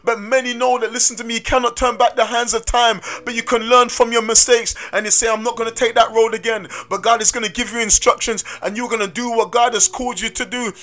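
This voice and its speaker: A man's voice: very high-pitched, flat delivery, quick pace, hardly any pauses, a bit bright. A man preaching a sermon in a sing-song cadence, often urging "listen to me". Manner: repeats "listen to me".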